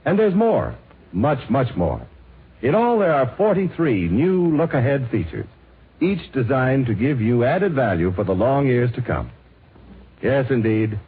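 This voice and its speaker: A man's voice: very animated, unhurried, slightly rough. An American man reading a scripted 1950s radio advertisement as an emphatic, polished commercial announcer. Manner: emphatic, polished sales pitch. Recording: muffled, phone-like vintage radio recording.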